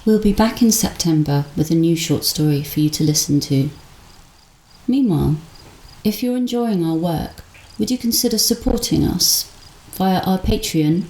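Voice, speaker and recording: A woman's voice: fairly low pitch, animated, moderate pace, dark tone. A woman hosting a story podcast, speaking in a scripted announcement style in a reverberant room. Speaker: podcast host.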